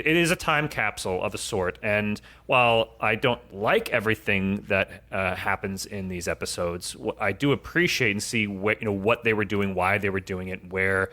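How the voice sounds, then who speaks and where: A man's voice: mid-range voice, pushed and bright. A man speaking as a podcast guest, giving conversational commentary in an explanatory way.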